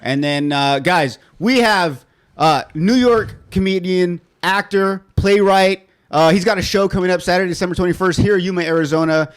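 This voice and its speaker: A man's voice: high voice, normal speed, clean tone. A young adult man hosting a casual podcast, rambling and apologetic in an easygoing way. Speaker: podcast host.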